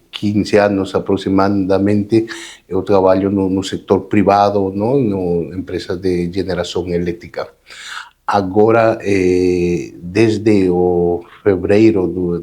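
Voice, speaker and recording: A man's voice: low-pitched, dark tone. A man in his fifties from Peru, Spanish native, speaking Portuguese with a Peruvian Spanish accent as an interview guest, hesitant with many fillers and tag questions. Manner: hesitant, many fillers and repeated words, ends phrases with "não?". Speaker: guest, hydrogen association president. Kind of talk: conversational interview.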